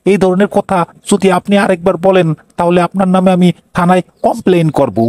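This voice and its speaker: A man acts a meek but indignant cartoon husband with a Bangladeshi accent. His voice is quite high and dryly recorded, and he protests earnestly about his honour. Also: flat delivery, fairly quick, a little mellow, no room sound, lightly acted. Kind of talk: cartoon dialogue.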